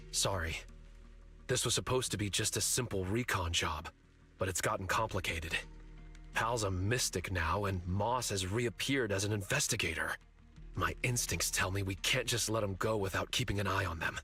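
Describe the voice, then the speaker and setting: A serious young fighter from a video game. His voice is lowish and forward, with a firm edge, and he speaks earnestly without nonsense. Music plays lightly underneath. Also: moderate pace, sharp tone. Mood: concerned, resolute. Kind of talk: English video game voice acting.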